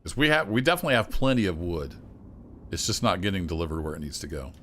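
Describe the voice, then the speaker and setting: A man streaming a city-building game, chatting casually and thinking aloud as he plays, his voice a little rough. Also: sits low, fast talker, slight background noise. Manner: relaxed, thinking aloud with fillers and restarts. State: slightly rough voice. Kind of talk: casual gameplay commentary.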